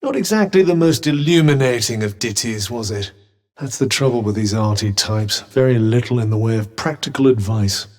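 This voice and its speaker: Game role: sardonic magical talking object, British accent, haughty and droll, animated intonation, lightly husky tone. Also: male voice, mid-range voice, a little slow, heavily acted. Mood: dry, sardonic. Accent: British English. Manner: dry, sarcastic, condescending, theatrical. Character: sardonic, haughty, well-spoken talking magical cuff, male. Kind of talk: video game character dialogue.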